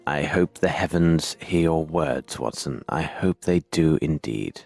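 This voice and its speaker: An actor playing a brilliant, world-weary Victorian detective in a video game, with measured, theatrical, formal phrasing. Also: male voice, very deep voice, creaky, a little mellow, no room sound, soft background music, heavily acted. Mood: bored, gloomy. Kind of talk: video game cutscene acting.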